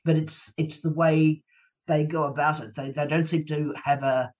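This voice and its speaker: A woman's voice: sits low, steady tone, normal speed, a little mellow. A woman talks as a guest in a conversational discussion. She searches for words and repeats some for emphasis. She sounds slightly far from the microphone. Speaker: panel guest. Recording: distant from the microphone.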